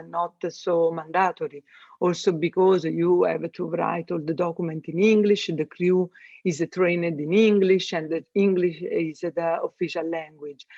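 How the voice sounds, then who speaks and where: A man with an Italian accent and a notably high voice speaks as an interview guest. He pauses often and fills gaps with "uh" while phrasing things the way a non-native speaker does. The sound is dry and a little muffled. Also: dry recording. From Italy. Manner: hesitant, many fillers, non-native phrasing. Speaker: guest, space systems engineer. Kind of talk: interview answers.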